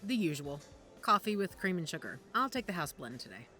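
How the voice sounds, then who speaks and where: A woman playing a composed, guarded werewolf pack matriarch in an audio drama, measured and wry. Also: on the low side, sing-song, sharp tone, husky, faint music behind, exaggerated voice. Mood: relaxed, cordial. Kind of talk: naturalistic audio drama dialogue.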